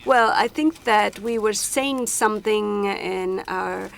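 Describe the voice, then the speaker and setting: A woman interpreting a panel discussion simultaneously, halting often with hesitations and restarts as she renders each speaker. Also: medium pitch, gravelly. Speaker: conference interpreter.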